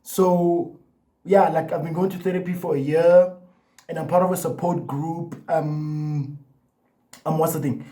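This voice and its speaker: A man's voice: on the high side, fairly even intonation, unhurried, warm tone, low-quality recording. A young man talking casually to the camera, with a stutter that brings repeated words and restarts, his speech loose and full of "like" and "you know". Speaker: vlogger.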